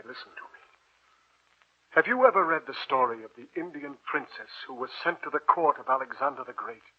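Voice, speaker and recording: A man's voice: fairly high pitch, slightly rough. An actor playing a concerned older professor, an earnest and solemn fatherly mentor, in a 1940s radio drama style. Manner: earnest, deliberate, solemn warnings. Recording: muffled vintage 1940s radio recording.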